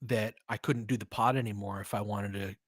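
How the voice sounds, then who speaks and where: A young man doing live play-by-play commentary, excitable, with quick asides and frequent laughs. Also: fairly low pitch, dry recording, studio quality. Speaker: fan play-by-play host.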